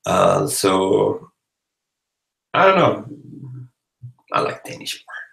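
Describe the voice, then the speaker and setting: A man's voice: low voice, very animated, slow, gravelly, fairly bright tone. A tired Swedish man with a Swedish accent, chatting casually on a livestream and answering comments in a rambling, playful way. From Sweden. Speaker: livestream host. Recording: roomy, echoey livestream microphone.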